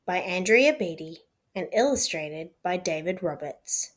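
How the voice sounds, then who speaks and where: A woman's voice: fairly low pitch, animated, a little slow. A woman storyteller reading a rhyming children's picture book aloud as scripted narration.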